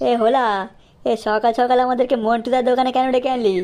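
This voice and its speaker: An actor playing a brisk, bossy, street-wise village man in a comedy cartoon, chatty and know-it-all. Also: mid-range voice, little pitch movement, darkish tone, natural voice. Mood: concerned, advising. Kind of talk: Bengali comedy cartoon acting.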